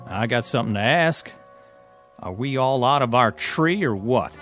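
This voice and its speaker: An actor playing a skeptical, wisecracking army corporal in a radio drama, with a wry, colloquial manner. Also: male voice, mid-range voice, expressive, normal speed, a bit bright, lightly acted. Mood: wary, doubtful. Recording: music bed under radio drama dialogue.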